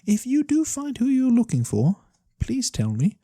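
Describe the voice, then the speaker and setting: A performer voicing a mysterious, courteous fantasy lorekeeper in a soft-spoken ASMR roleplay, breathy and theatrical with knowing pauses. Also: medium pitch, huge pitch swings, no echo, studio quality, full character voice. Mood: warm, courteous. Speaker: storyteller character.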